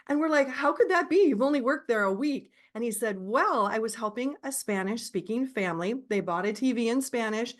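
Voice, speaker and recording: A woman in her forties from Minnesota, hosting a casual chat, animated and encouraging. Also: a little high. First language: English. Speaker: podcast host and Spanish teacher.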